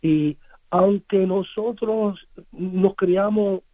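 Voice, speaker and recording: A man with an American English accent, his voice sitting fairly high, talks haltingly and often restarts his words. His first language is English. The sound is dry and narrow, like a phone line carried on radio. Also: steady tone, no echo. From United States. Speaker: musician guest. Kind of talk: radio interview, casual recollection.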